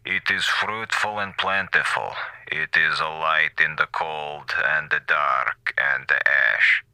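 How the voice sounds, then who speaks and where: A man playing a crazed doctor turned grandiose mouthpiece of a plant overlord in an audio drama, preaching slowly. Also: rumbling low, vocal fry, pushed and bright, no echo, full character voice, poor audio. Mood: grandiose, menacing. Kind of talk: audio drama villain speech.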